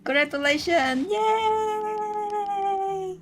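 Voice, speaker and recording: Slow-talking Vietnamese-accented woman streamer. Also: very high-pitched, faint music behind. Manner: slow, chatty, laughs often, broken English. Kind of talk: casual live-stream chat.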